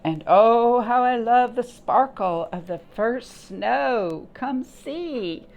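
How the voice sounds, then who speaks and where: A woman voicing a carefree, playful grasshopper in a children's picture book, with a drawn-out, coaxing, sing-song delivery. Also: on the high side, animated, darkish tone, muffled recording, voice pushed up. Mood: gleeful. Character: carefree, playful grasshopper who loves music and play.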